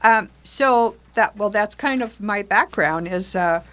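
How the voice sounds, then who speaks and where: An older woman talking about storytelling and theater as an interview guest, speaking haltingly with many pauses and restarts. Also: medium pitch, fairly bright tone. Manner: hesitant, many fillers and restarts. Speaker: guest, theater group facilitator. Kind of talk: casual interview chat.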